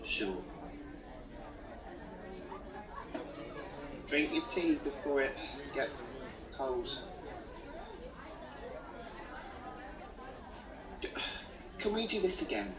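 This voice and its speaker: A man acts an earnest young dreamer in an audio drama. His voice swings widely in pitch, hesitant one moment and questioning the next, with a clear murmur of people around him. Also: a little slow. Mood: subdued. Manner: hesitant, expressive. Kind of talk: audio play acting. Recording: busy crowd murmur behind.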